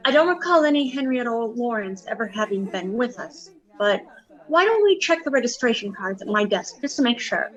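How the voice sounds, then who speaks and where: An actress playing a cool, scheming young receptionist in a detective audio drama, guarded and confiding, on a slightly dull recording. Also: female voice, fairly high pitch, sing-song, brisk, a bit bright. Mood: polite.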